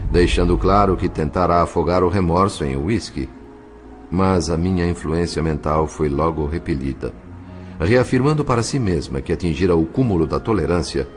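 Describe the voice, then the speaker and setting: A male actor narrating solemnly and dramatically as a spirit in a Brazilian audio drama, with a Brazilian accent. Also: sits low.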